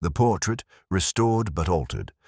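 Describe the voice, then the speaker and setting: A man narrates a detective mystery in an extremely low voice. His delivery is measured and literary, with lively movement in the pitch. The sound is close and dry. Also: vocal fry, dry recording. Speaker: story narrator.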